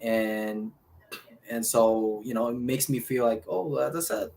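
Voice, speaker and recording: A man with a Filipino accent giving casual interview answers as a boxer, speaking haltingly with pauses mid-sentence. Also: medium pitch, gravelly, a little mellow. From Philippines. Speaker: professional boxer, interview guest. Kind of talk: casual interview answers, recounting his life.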